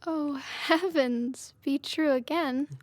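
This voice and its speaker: A woman's voice: high voice, slow pace, no echo. A young woman playing a passionate, grief-stricken young Trojan woman in love, with impassioned verse delivery in a Shakespearean audio drama. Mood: anxious, wounded. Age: young adult.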